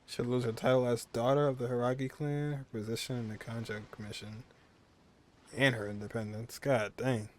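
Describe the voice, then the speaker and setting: A man's voice: mid-range voice, fairly even intonation, normal speed, a little mellow. A young man giving informal let's play commentary, reacting aloud to the game with its music behind him. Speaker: gaming streamer.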